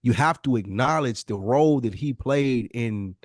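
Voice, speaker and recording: A man's voice: medium pitch, moderate pace, dry recording, clean studio sound. A man hosting a podcast with casual commentary, blunt and slangy, repeating phrases for emphasis. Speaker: podcast host.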